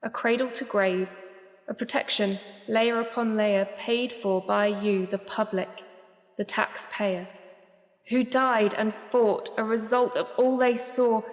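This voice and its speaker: A woman playing an earnest political poet, reciting rhymed spoken-word verse on stage in a deliberate, declamatory manner. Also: on the high side, fairly even intonation. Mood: grave, accusing. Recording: echoey hall reverb with music.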